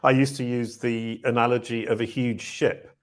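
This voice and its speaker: A man's voice: medium pitch, flat delivery, moderate pace. A man in his fifties from the north of England, hosting a casual video interview and telling anecdotes. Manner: chatty, anecdotal, uses analogies. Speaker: podcast host. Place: home room, indoors.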